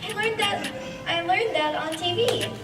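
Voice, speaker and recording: A woman's voice: extremely high pitch, measured pace. A woman playing a curious, eager kid who loves learning, in an amateur radio play. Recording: echoey, distant mic, loud music.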